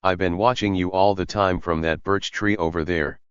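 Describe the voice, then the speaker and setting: A man's voice: low voice, steady tone, moderate pace, fairly bright tone, smooth, no room sound, lo-fi sound. A man voicing a cranky old male sparrow who scolds and stutters into chirps. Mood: scolding. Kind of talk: audiobook character voice.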